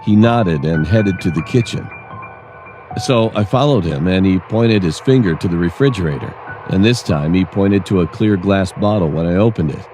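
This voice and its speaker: A man narrating a scripted first-person horror story in a measured, dramatic storytelling manner. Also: sits low, lively intonation, mellow, soft background music.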